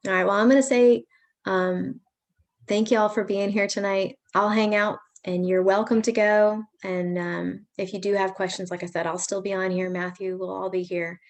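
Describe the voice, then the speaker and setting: A woman's voice: mid-range voice, flat delivery, moderate pace. A woman hosting a virtual parent information session, talking in a friendly, chatty, reassuring way over a video call. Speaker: pre-college program staff host.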